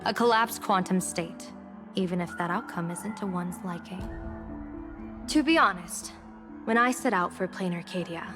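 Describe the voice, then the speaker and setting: A woman voice acting a shrewd, composed general and diviner in a game cutscene, speaking in a measured, formal, theatrical way. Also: medium pitch, natural voice. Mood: solemn, reflective. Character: shrewd, composed female general and diviner, secretly gambling against gods.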